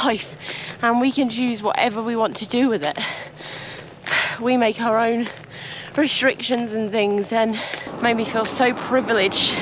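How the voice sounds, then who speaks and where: Young woman, husky from dust, vlogging outdoors. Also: on the high side, background noise. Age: 20s. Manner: earnest, reflective, with soft laughs. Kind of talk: reflective outdoor vlog. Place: outdoors on a bike trip.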